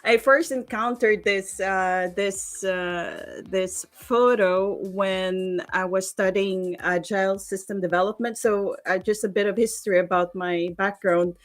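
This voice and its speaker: A woman in her thirties from the Philippines, talking on a video call through a headset, friendly, laughing often and pausing with "uh". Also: medium pitch, fairly even intonation. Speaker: guest speaker, software engineer. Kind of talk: casual video-call interview. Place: home room with plants behind.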